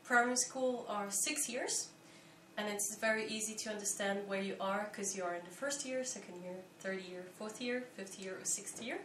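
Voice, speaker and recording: A young woman from Belgium explains things calmly and carefully, pausing often to pick her words. She sounds like she is in a roomy, echoey space. A faint hiss sits under her voice. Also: mid-range voice, recorded from a distance. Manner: thoughtful, measured, with frequent pauses and fillers. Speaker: interviewee, volunteer. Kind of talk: explanatory interview answers. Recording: echoey room, faint background noise.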